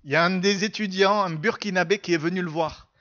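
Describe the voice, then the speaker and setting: A man's voice: high voice, fast, a bit bright, dull audio. A man preaching a church sermon, telling and teaching in an earnest, emphatic way and repeating phrases for stress.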